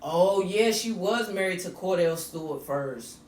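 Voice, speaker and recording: Slightly raspy woman, animated and blunt. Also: lowish voice, unhurried. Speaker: podcast co-host. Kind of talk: casual chat. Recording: distant mic in an echoey room with faint noise.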